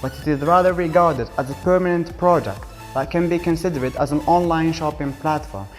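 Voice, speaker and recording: A young man in his twenties reads a rehearsed pitch to a panel of judges. His delivery is formal and his voice sits slightly high. Faint music plays behind him. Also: brisk, long reverb tail. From Algeria. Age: 20s. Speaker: student presenter addressing a jury. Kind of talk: reading a prepared pitch presentation.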